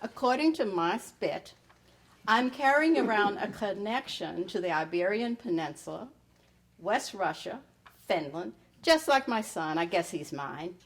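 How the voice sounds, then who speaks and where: Woman storyteller, slow and expressively varied. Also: medium pitch. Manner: wry, storytelling with pointed pauses. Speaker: writer reading own piece. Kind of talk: dramatic reading of personal essay.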